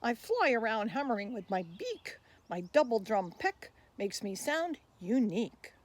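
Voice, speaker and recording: A woman's voice: fairly high pitch, big pitch swings, unhurried. A woman voicing a proud, rare woodpecker in a dramatic, rhyming storybook delivery. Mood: proud, earnest. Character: proud, rare ivory-billed woodpecker back from extinction.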